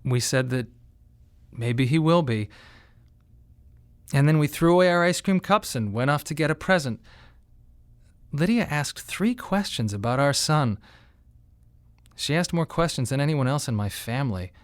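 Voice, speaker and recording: An actor playing a grieving father, speaking in a measured, wry radio monologue with pointed pauses. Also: fairly high pitch, animated. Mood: quiet, reflective. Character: grieving bereaved father, wry, tense and plain-spoken. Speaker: narrator and lead of a monodrama. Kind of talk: dramatic first-person radio monologue.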